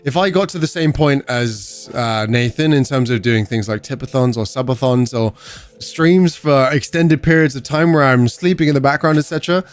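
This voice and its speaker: A young English man hosting a game stream, talking easily and reading his chat aloud. His voice sits at an ordinary middle pitch, with faint music playing underneath. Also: moderate pace, clean tone. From England. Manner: chatty, reads chat aloud and answers viewers. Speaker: livestream host. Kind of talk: casual livestream chat while gaming.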